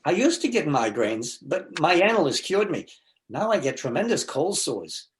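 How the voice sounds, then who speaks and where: High-voiced neurotic jilted husband played by a man. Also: very animated. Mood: anxious, chatty. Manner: self-deprecating, halting, stammering. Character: neurotic, self-pitying jilted husband, meek and lovelorn. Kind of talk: stage comedy readers theater.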